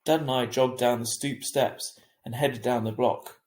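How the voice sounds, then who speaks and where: A man's voice: mid-range voice, measured pace, reverberant. A man reading a children's novel aloud as a teacher would, expressively and acting out the dialogue. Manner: animated, acts out the dialogue. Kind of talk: expressive audiobook-style narration of a children's novel.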